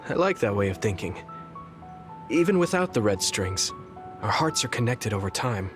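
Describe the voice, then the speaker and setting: A man's voice: fairly low pitch, very animated, moderate pace. An actor voicing an earnest, kind, easygoing young man soldier in a video game, speaking in a friendly, sincere way. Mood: earnest, reflective. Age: young adult. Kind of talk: video game voice acting, story cutscene dialogue. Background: background music.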